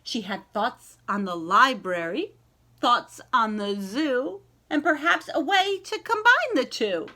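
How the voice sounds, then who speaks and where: A woman reading a children's storybook aloud and chatting to young listeners, expressive and encouraging, with stretched key words and dramatic pauses. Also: fairly high pitch. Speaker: library read-aloud host.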